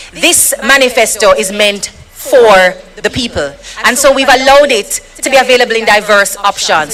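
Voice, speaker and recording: A woman with a Jamaican accent, working as the emcee at a political rally, making formal, rousing introductions that build to shouts. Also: fairly high pitch, low-quality recording. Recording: live rally recording with a loud crowd. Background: cheering crowd.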